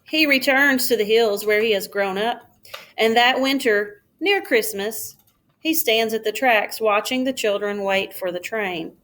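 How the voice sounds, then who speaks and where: A woman reading a children's storybook aloud like a schoolteacher, in a careful, expressive storytelling manner. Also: on the high side, a little slow.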